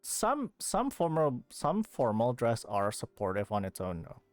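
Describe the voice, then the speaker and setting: Woman streaming a game, chatty n sweary, very animated, dry close mic, some music behind. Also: a bass voice, measured pace, no room sound. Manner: rambling, swearing, incredulous reactions. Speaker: livestreamer playing a video game. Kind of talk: casual live chat and commentary.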